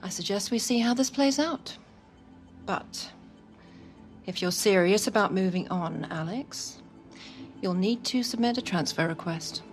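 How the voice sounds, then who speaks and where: An actress playing a measured, businesslike football club executive in a video game cutscene. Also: female voice, medium pitch, faint music behind, close to an everyday voice.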